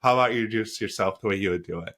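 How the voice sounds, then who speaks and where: A man with a fairly low voice hosts an interview podcast over a video call. He is affirming and easygoing, quick to answer his guest with "wow" and "absolutely". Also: fairly bright tone. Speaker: podcast host. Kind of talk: casual interview hosting.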